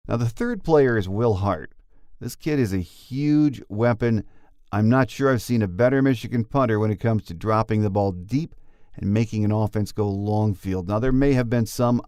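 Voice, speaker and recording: A man's voice: fairly low pitch, a little mellow, no room sound, clean studio sound. A man hosting a sports podcast, giving commentary on football and interviewing guests. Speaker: podcast host.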